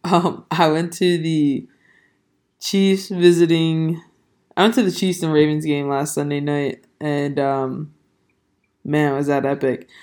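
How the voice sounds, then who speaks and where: A young adult host chats alone on a podcast, the voice still hoarse and not fully recovered. The delivery is flat and even, with little rise and fall, and it wanders from point to point with many ums and restarts. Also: mid-range voice, slow pace. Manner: rambling, filler-heavy, self-correcting. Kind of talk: casual solo podcast chat.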